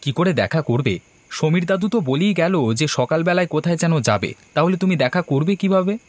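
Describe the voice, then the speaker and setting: A young man in a horror cartoon, played by a male actor, polite but uneasy. His voice sits a little high, with the plain delivery of story dialogue. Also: lively intonation, fast talker, lightly acted. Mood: uneasy, grumbling.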